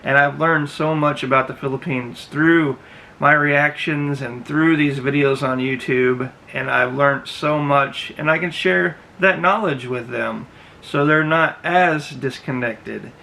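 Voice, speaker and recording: A man's voice: a little high, steady tone, a little slow, gravelly, sharp tone, a little hiss or hum, low-quality recording. A man in his thirties, host of a reaction video, giving earnest and emphatic casual commentary in a small room. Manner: earnest, emphatic, repeats himself.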